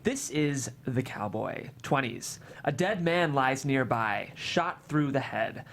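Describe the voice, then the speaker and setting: A host narrating a screenplay's stage directions at a table read, in an expressive, dramatic way. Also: medium pitch, slow pace. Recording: faint background room noise.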